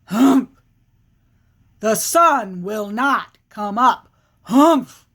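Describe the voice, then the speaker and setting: A woman's voice: on the high side, expressive, very slow, fairly bright tone, heavily acted. A woman voicing a stubborn, proud, grumpy big male bear in an animal folktale, with emphatic, blustering harrumphs. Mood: stubborn, huffy.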